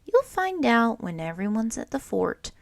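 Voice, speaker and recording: A woman reading aloud and voicing a young boy bear who speaks quietly and secretively. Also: fairly high pitch, big pitch swings, measured pace, vocal fry, no echo, voice pushed up, exaggerated voice. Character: young male bear, proud then hurt.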